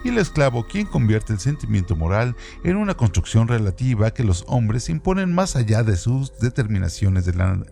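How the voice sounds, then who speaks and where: A man co-host giving a measured, deliberate scripted reading. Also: medium pitch, very animated, creaky, warm tone. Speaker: co-host reading trivia segment. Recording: music playing underneath. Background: background music.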